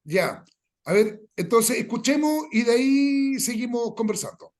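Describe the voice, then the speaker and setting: A man hosting an informal workshop, speaking hesitantly in short phrases with pauses. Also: very high-pitched, animated. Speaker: workshop host and writer.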